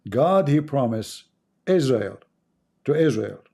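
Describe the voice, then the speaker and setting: A man from the Middle East, an Arabic speaker with an Arabic accent, delivering an impassioned preachy monologue full of rhetorical questions and words slowed down for stress. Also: mid-range voice, animated, gravelly, mellow. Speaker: religious commentator.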